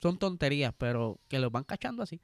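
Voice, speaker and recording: A man co-hosting a casual talk, animated and telling stories. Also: mid-range voice, fast. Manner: animated, acts out quotes. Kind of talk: casual chat.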